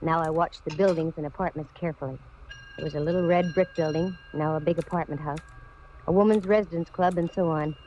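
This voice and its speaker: A woman's voice: low voice, fairly even intonation, a little fast, darkish tone, natural voice. A hard-boiled female private eye of 1940s radio, played by an actress, wisecracking and sure of herself. The old recording is muffled, with faint noise under the voice. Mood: wry narrating. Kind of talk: 1940s radio detective acting, narration and dialogue.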